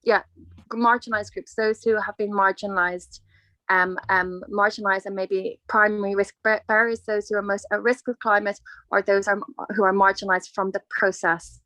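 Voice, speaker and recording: A woman moderating an online conference session over a video call, hesitant with many pauses and restarts. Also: medium pitch, flat delivery, pushed and bright, no room sound, rough recording.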